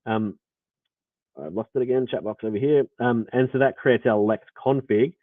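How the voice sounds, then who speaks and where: A man's voice: mid-range voice, moderate pace, no room sound. A man with an Australian accent explaining code in a rambling live walkthrough, full of fillers and restarts. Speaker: developer presenting. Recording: tinny phone-like audio line.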